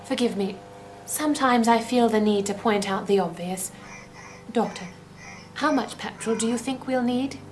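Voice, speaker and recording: An actress playing a level-headed, practical adventurer companion in an audio drama, speaking in a dry, formal, matter-of-fact way. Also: female voice, on the high side, moderate pace, a little mellow, faint music behind, natural voice. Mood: dry, doubtful.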